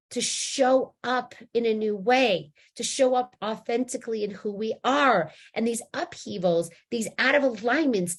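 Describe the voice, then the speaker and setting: A hoarse woman teaching about spiritual awakening in a casual talk, rambling with many restarts and slowing down to stress key words. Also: on the high side.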